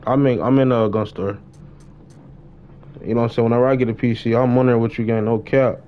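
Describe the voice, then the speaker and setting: Young American male streamer, casual slangy talk with repeated phrases, medium pitch, some noise in the recording. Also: fairly even intonation, fast talker, highs cut off. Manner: repeats phrases and uses heavy street slang. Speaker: live game streamer. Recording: noisy live stream with game sounds.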